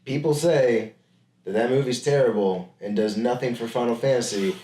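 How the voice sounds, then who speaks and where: A man co-hosting a podcast, talking casually about movies with a halting, wry comedic delivery. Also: medium pitch, a crowd in the background. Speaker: podcast co-host. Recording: echoey room.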